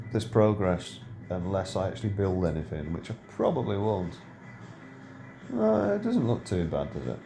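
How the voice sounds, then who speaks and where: A middle-aged man giving casual, rambling gameplay commentary, full of ums and self-corrections. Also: low-pitched. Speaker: game video creator.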